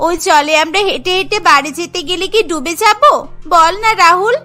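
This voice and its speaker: A woman's voice: very high-pitched, fairly quick, sharp tone, faint music behind. A woman voicing an anxious, childlike young schoolgirl in a cartoon, with a fretful, pleading manner. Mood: anxious questioning.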